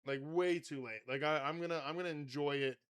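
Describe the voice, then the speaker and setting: Male podcast host with a loud, smooth, slightly high voice; loose, rambling, profane banter. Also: steady tone, fairly quick. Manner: rambling, swears casually, lots of fillers. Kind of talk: casual chat.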